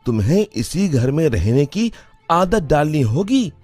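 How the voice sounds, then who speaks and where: An exasperated young son in a cartoon, put on by a man in a raised voice, complaining and stubborn. His voice is pitched well above the man's own and swings widely. Faint music runs behind. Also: very animated, a little slow, a little mellow, no room sound. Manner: complaining, insistent. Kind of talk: cartoon voice acting.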